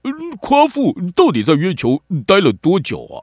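A man with a Taiwanese accent playing an excitable, skeptical young boy in a children's radio drama, questioning everything with exaggerated hesitation. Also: on the high side, moderate pace. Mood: puzzled, skeptical.

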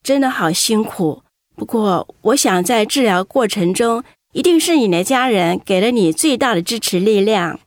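A woman's voice: medium pitch, moderate pace, no echo, clean studio sound, lightly acted. A woman playing a caring, attentive confidante in a radio drama. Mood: sympathetic. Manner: expressive, caring. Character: sympathetic, attentive woman, an interviewer and fellow patient.

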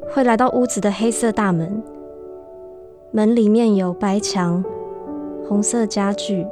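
Actress as lonely idealistic woman in a radio play, mid pitch, hesitant n earnest, music behind. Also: fairly even intonation, a little slow, lightly acted. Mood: dreamy. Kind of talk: radio drama acting. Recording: music bed under the voice.

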